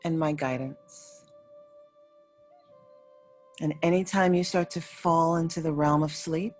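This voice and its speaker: A woman leading a guided meditation as a yoga nidra teacher, speaking in soothing, unhurried short phrases with pauses between them. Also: deep voice, a little mellow. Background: faint music.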